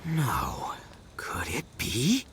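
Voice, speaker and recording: A man voicing a boastful, excitable young fishing enthusiast in game dialogue. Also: mid-range voice, extremely slow, noisy background, exaggerated voice.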